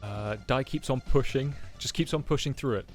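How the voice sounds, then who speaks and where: A man chatting as a guest on a stream, talking in a monotone, reflective way. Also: medium pitch, moderate pace, soft background music. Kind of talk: casual online chat.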